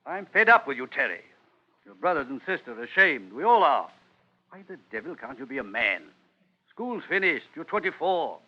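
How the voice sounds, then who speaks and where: A man's voice: fairly high pitch, big pitch swings, normal speed. An actor playing a stern, disappointed middle-aged British father in a vintage radio drama, curt and formal as he scolds. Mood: exasperated. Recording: dull vintage radio recording.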